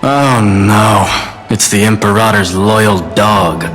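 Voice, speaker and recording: A man's voice: fairly low pitch, takes it slowly. An actor playing a gossiping, scornful male citizen in a video game. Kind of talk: video game character acting. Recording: loud game music behind.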